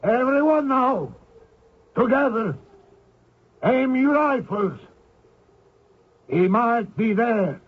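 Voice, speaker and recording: A man's voice: very high-pitched, expressive, extremely slow, pauses often, slight background noise, exaggerated voice. A man playing a commanding military captain hunting an escaped prisoner, speaking formally in old-time radio drama style. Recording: muffled, very tinny old radio broadcast.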